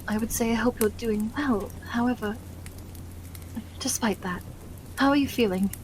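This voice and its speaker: A woman's voice: fairly high pitch, normal speed, darkish tone, natural voice, poor audio. A woman playing a genteel, caring wife who tends the wounded in an ASMR roleplay, with tender, old-fashioned politeness. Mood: polite concern. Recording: faint noise, rain behind the voice. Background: rain.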